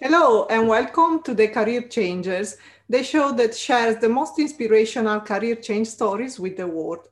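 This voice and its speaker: A woman hosting a podcast, reading a scripted introduction and asking her guest questions in an upbeat, clear way. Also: fairly high pitch, expressive, normal speed. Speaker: podcast host.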